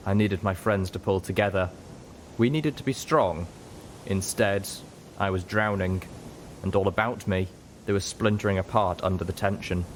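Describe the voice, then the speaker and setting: A man narrating a dramatic first-person story in a measured, grave and emotive manner. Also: on the low side, a little hiss or hum. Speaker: audiobook narrator.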